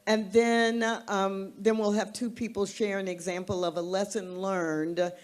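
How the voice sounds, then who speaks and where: A woman moderating a panel informally, thinking aloud in an unhurried way. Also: medium pitch, flat delivery, darkish tone.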